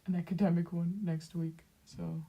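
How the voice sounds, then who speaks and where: A young woman with a Dutch accent, chatting casually with viewers while she draws, speaking softly and haltingly with many fillers and small laughs. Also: on the low side, little pitch movement, vocal fry, husky. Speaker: streamer. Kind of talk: casual chat while drawing on stream.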